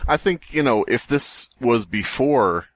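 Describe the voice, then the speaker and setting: A man's voice: medium pitch, expressive, measured pace. A man hosting a podcast, talking casually about stories in a rambling, jokey way. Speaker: podcast host. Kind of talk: casual story discussion.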